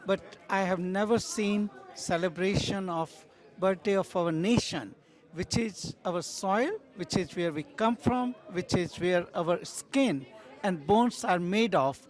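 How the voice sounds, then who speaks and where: Very high-pitched man with an Indian accent. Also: takes it slowly, some chatter behind. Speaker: event organizer. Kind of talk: proud, formal event remarks.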